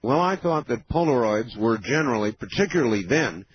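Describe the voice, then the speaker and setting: A man of fifty hosting a late-night call-in radio show, explaining in a measured way with many pauses. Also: mid-range voice, expressive, slight background noise. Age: 50s. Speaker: radio talk show host. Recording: dull lo-fi radio broadcast.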